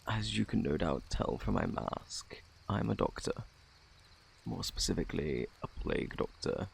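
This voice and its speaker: A very deep, gravelly British male plague doctor acted by a man speaks softly, as in an ASMR roleplay. His phrasing is formal and fussy, with lively swoops in pitch and a faintly sinister politeness. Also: unhurried, a little hiss or hum, full character voice. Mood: fussy, indignant. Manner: formal, fussy, self-assured. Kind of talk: ASMR roleplay, soft close speech.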